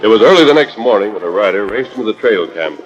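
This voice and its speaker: A man's voice: on the low side, expressive, fast, lo-fi sound, over loud music, close to an everyday voice. A man acting as dramatic narrator of a 1940s radio western, reading scripted narration. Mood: dramatic, steady. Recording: old 1940s radio transcription disc.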